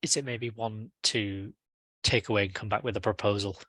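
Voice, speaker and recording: A man's voice: lowish voice, fairly even intonation, moderate pace, a bit bright, dry recording. A man chairing an online technical meeting in casual, conversational talk. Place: online conference call.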